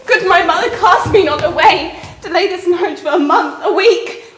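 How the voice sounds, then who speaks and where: A woman plays a desperate young daughter in classical verse drama, pleading with tearful passion. The voice is extremely high and bright, ringing around an echoing room. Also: normal speed. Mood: anguished despair. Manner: tearful, impassioned, declamatory. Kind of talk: classical stage verse acting.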